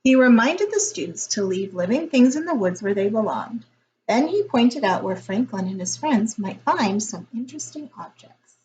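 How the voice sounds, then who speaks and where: A woman reading a picture book aloud as a teacher would, in a deliberate, expressive storytelling style. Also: on the high side, lo-fi sound, a little hiss or hum.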